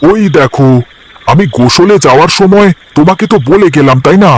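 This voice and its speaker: A man voicing an eager, defensive village vegetable seller in a cartoon, chatty and folksy with drawn-out hesitations. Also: sits high, animated. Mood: casual, homely. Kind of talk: cartoon voice acting.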